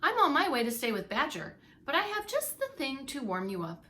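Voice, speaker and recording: A woman's voice: high voice, wildly swooping pitch, fairly quick, bright, forceful tone, voice pushed up, full character voice. A woman voicing a small, kind young male hedgehog in a storybook, earnest and given to exclaiming.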